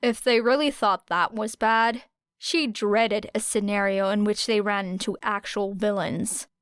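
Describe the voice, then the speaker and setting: A woman's voice: mid-range voice, fairly even intonation, a little slow, a bit bright, smooth, no room sound, studio quality. A woman reading scripted fiction as an audiobook narrator.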